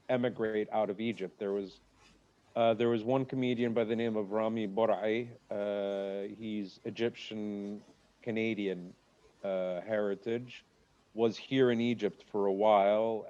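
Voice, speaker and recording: A man's voice: lowish voice, highs cut off. A man, a comedian guest, chatting casually on a podcast in a rambling, jokey way. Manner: rambling, jokey, lively asides.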